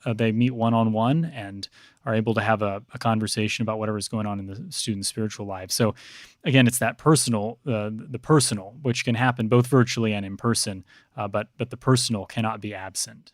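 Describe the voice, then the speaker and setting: Halting man in a dry recording. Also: on the low side, fairly even intonation, no echo. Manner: halting, repeats words as he thinks. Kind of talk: thoughtful interview conversation.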